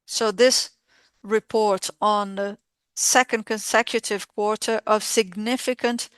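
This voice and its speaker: Female conference interpreter, smooth clear voice, dry close sound, formal financial delivery in measured segments. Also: medium pitch, steady tone, fairly bright tone, no echo. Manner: measured, halting phrasing in short segments. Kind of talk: formal earnings call presentation, spoken live.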